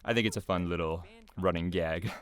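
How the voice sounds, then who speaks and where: A man's voice: sits low, moderate pace. A man giving casual, unscripted behind-the-scenes commentary in a rambling, hesitant way.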